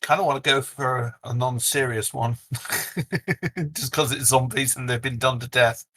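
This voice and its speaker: A man's voice: mid-range voice, steady tone, measured pace, fairly bright tone, slightly rough. A man from Cornwall co-hosting a casual podcast, hesitant with many fillers and wry. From Cornwall, England. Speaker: co-host.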